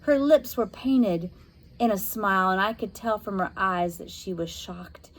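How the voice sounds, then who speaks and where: A woman reading a spooky children's novel aloud, narrating dramatically with expressive, suspenseful, deliberate phrasing. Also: medium pitch, poor audio. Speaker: storybook reader.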